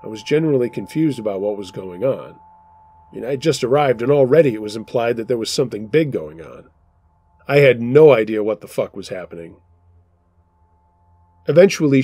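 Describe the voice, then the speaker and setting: Male storyteller host, middle-pitched voice, dry close sound, calm measured reading. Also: breathy edge, no room sound. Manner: steady, measured first-person narration. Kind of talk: reading submitted true scary stories aloud.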